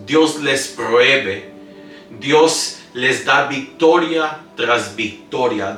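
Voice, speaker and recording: Male pastor, earnest sermon delivery, recorded in a roomy space with music underneath. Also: a little high. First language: English-dominant speaker preaching in Spanish. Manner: earnest preaching with scripture reading and slips. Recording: roomy, distant mic with soft music. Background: soft instrumental music.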